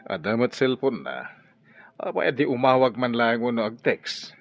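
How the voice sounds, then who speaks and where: A man's voice: mid-range voice, very animated, moderate pace. A man with an Ilocano accent playing a stern, exasperated father who scolds and sighs in a radio drama. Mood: worried, exasperated. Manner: scolding, sighing. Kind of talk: Filipino radio drama acting. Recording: dull lo-fi radio audio over music.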